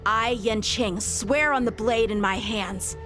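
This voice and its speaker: A woman's voice: fairly high pitch, slow. A woman voicing an earnest, dutiful young lieutenant who speaks formally and eagerly. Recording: studio game dub over loud music.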